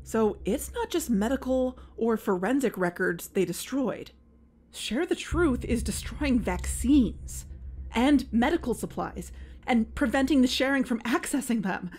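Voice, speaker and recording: A fairly high-voiced woman investigator played by an actress in a fiction podcast. She is driven and anxious, and speaks with earnest urgency. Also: lively intonation, a little slow, darkish tone. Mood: alarmed, urgent. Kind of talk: fiction podcast audio drama acting.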